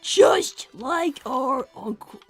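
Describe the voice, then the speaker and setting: A woman's voice: sits high, expressive, very slow, husky, no echo, faint music behind, full character voice. A woman voicing a blunt, bossy five-year-old fox brother, needling in improvised cartoon-style banter.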